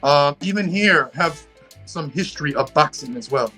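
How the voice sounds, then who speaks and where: Guest, man from Puerto Rico, bright tone, relaxed halting video-call chat. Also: fairly high pitch, slightly rough, faint music behind. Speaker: guest boxing channel creator.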